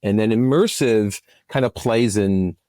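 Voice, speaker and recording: Man, deep voice, interview guest, enthusiastic explainer, halting phrasing, dry sound. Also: mellow, dry recording. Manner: enthusiastic, halting with repeated starts. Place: remote recording over internet software.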